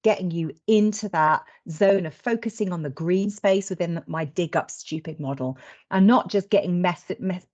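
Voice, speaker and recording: A woman's voice: fairly low pitch, darkish tone. A woman hosting a webinar in an informal, chatty and blunt colloquial way. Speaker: webinar host. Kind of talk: informal presentation. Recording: slightly dull lo-fi webinar audio.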